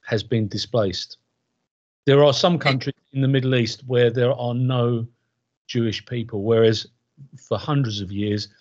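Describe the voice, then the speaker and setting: A man with a mid-pitched voice hosts a conversational interview. His audio is somewhat muffled and lo-fi, lacking detail. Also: darkish tone, breathy edge. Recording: dull, lo-fi voice channel.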